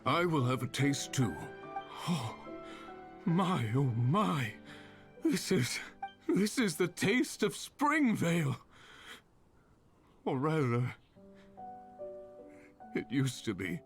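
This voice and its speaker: A solemn, wistful soul from a bygone era in a video game. The voice is extremely low and very slow, measured and grave, with clear music behind. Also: female voice, very animated, heavily acted. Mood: moved.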